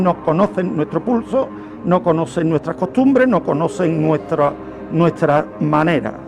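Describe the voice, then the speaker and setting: A man with an accent from Spain telling history on a radio talk show, emphatic and repeating phrases for effect. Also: sits high. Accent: Spanish from Spain. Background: faint music.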